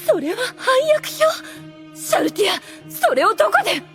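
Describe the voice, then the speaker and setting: A woman's voice: very high voice, big pitch swings, moderate pace, music in the background, full character voice. A woman playing a proud, scheming demon lady in an anime audio drama, with refined, condescending phrasing and a theatrical manner. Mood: startled, defensive.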